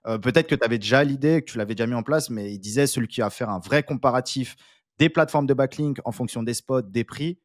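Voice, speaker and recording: A man's voice: medium pitch, fast talker, dry recording, clean studio sound. Upbeat male video host. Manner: upbeat, energetic presenter. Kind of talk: online video interview.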